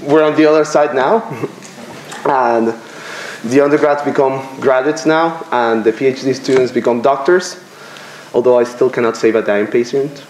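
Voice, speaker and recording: A young man from Venezuela gives a graduation speech at a podium, halting and self-deprecating, with filler pauses. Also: mid-range voice, a little mellow, slight background noise. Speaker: commencement student speaker. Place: lecture room with podium. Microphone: podium microphone. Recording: roomy lecture hall echo.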